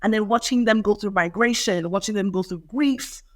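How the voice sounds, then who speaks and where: A woman's voice: medium pitch, moderate pace, no echo. Reflective young woman, dry sound. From Ireland. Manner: reflective, thoughtful. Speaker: poet and interview guest. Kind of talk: interview answers.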